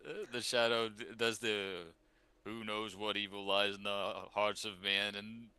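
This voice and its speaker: A man's voice: fairly low pitch, level tone, a little slow, bright, forceful tone, smooth, no room sound. An older man co-hosting a podcast in casual, joking conversation with friends. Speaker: podcast co-host. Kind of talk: casual, joking group chat.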